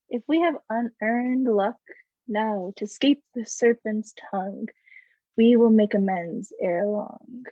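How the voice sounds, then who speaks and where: A woman performing a mischievous but honest sprite in a recited Shakespeare verse monologue, bidding the audience goodnight. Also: mid-range voice. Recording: roomy echo, dull lo-fi audio.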